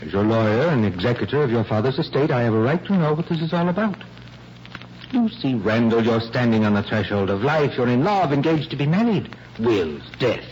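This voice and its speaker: Actor as an earnest, emphatic family lawyer, swooping intonation. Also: male voice, mid-range voice, big pitch swings, fairly quick, a little mellow, close to an everyday voice. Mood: alarmed, protesting. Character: concerned, paternal family lawyer, agitated and formal. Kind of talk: 1940s radio acting. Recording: muffled vintage radio recording, faint hiss.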